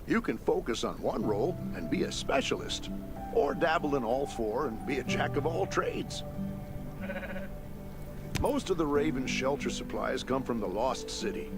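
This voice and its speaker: An actor playing a friendly male survivor in a game cutscene, explaining things to a newcomer in an easygoing, upbeat way. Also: a little high. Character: friendly male shelter survivor, chatty helper.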